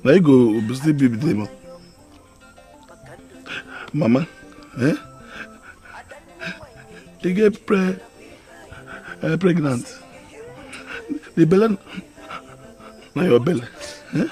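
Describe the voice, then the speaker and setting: An actor with a Nigerian accent playing a flustered village husband and pleading peacemaker, speaking haltingly and repeating himself in pidgin, in a film drama. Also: male voice, fairly high pitch, lively intonation, mellow, faint music behind. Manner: halting, repetitive pidgin delivery.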